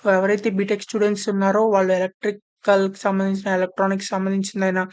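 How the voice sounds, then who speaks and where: A woman presenting an informal explainer voice-over, repeating her phrasing and mixing in many English terms. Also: medium pitch. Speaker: presenter of job update videos. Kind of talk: informal explainer narration of a job notification.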